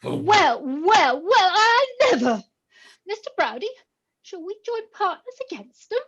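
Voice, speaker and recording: A woman's voice: very high voice, big pitch swings, a little slow, a bit bright, highs cut off, heavily acted. An actress playing a giggling, teasing young country girl.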